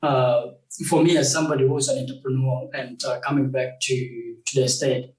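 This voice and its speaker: A young man from South Sudan, a game company founder, talking as an interview guest in a halting way, with many fillers and restarts. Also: medium pitch, flat delivery, darkish tone, reverberant, recorded from a distance, rough recording. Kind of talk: casual interview conversation.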